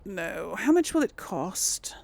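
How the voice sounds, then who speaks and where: A woman's voice: medium pitch, very animated, takes it slowly, creaky, a little mellow. A woman hosting a casual gaming video, chatting and thinking aloud as she role-plays. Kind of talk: casual role-play game commentary.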